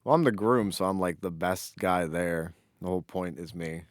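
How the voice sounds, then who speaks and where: Male podcast co-host, deep register, dry sound, loose joking chat. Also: normal speed, dry recording. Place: small office.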